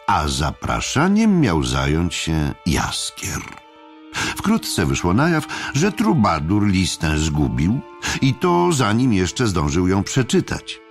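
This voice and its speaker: A man's voice: deep voice, gravelly. A man narrating an audiobook drama from a script, with theatrical, wry storytelling.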